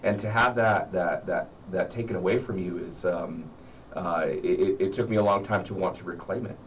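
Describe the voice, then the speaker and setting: Male interview guest, American, deep-pitched and searching for words, on a dull recording with faint noise. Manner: halting, repeats words as he searches. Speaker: interview guest, horror story writer. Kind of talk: reflective conversational interview. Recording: muffled, distant mic with faint noise.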